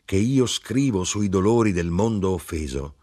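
A man's voice: low voice, expressive, moderate pace, mellow. A man voicing a small, sorrowful old man who speaks solemnly, as if preaching. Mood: mournful solemn.

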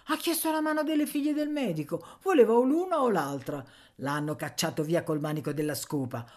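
A woman's voice: on the low side, expressive, a little fast, darkish tone. A woman voicing a drunken, gossipy older serving woman in a storybook, exclamatory, rambling and insistent.

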